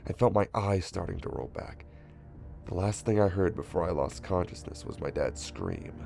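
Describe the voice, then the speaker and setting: A man's voice: deep voice, lively intonation, normal speed, gravelly, a little mellow, soft background music. A man playing a frightened son, narrating his horror ordeal in the first person as a scripted story. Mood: grim.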